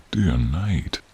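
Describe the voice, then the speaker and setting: A man playing a gentle, protective male dragon in human form, speaking tenderly and haltingly in a deep, gravelly, husky voice for an audio role-play. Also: exaggerated voice. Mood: moved, fond. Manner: tender, halting, hushed, drawn-out phrasing. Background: rain and cave ambience.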